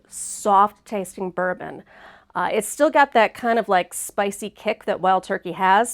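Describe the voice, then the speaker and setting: A woman hosting a casual livestream chat, sounding a bit sniffly and congested, talking in a chatty, upbeat way. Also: a little high. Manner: chatty and upbeat, laughs easily. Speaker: host.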